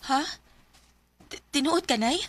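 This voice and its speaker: A woman's voice: high voice, expressive, slow pace, fairly bright tone, dry recording, exaggerated voice. A woman playing a stubborn, lovelorn young daughter in a radio drama, with a Bisaya accent. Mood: pleading, startled. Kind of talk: Bisaya radio drama acting with confiding narration.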